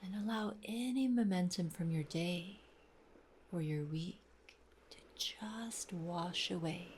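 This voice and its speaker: A woman leading a guided meditation in a soft, soothing, breathy voice with gentle pauses. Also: lowish voice, a little hiss or hum. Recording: voice over clear wave sounds. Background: ocean waves.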